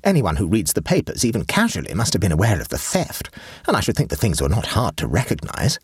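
A man's voice: deep voice, huge pitch swings, fast, slightly rough, no room sound, clean studio sound, heavily acted. A man reading an audiobook and voicing a severe, pompous middle-aged aunt who lectures sternly.

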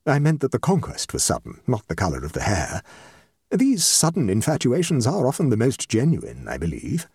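A man voicing a shrewd, tactful society lady with a measured, dry and precise manner in a comic story. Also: medium pitch, wildly swooping pitch, darkish tone, husky, no echo, voice pushed up, heavily acted. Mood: soothing. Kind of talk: comic short story reading.

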